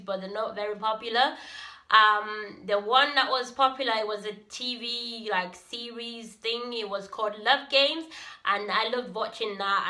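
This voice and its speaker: A young woman with a Zambian accent chatting casually to camera as a vlogger, in an expressive, informal way. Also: on the high side. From Zambia, Copperbelt. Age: young adult. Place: plain small indoor room.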